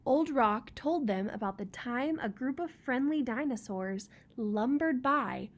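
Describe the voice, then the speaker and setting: A woman's voice: high-pitched, lively intonation, measured pace. A woman reading a children's picture book aloud as storyteller, expressively, with chatty casual asides.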